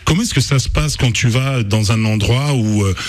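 A man hosting a radio interview, asking long, rambling questions in a lively way. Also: medium pitch, normal speed. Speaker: radio host.

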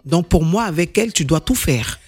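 A woman's voice: fairly low pitch, animated, normal speed, a little mellow, husky, faint music behind. A woman singer being interviewed in a radio studio, explaining her views earnestly and repeating herself for emphasis. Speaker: singer and guest.

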